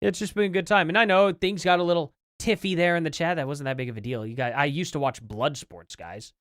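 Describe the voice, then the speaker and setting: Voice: medium pitch, fast talker, a bit bright, no echo, studio quality. An American livestream host chatting casually and informally to viewers, rambling along and reacting to things. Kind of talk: casual livestream chat, reacting to clips and news.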